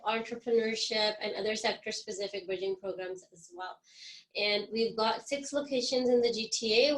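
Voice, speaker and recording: A woman's voice: on the high side, poor audio. A woman hosting a webinar, introducing an employment agency and steadily listing its programs with ums between items, over a call microphone. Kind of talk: organizational introduction.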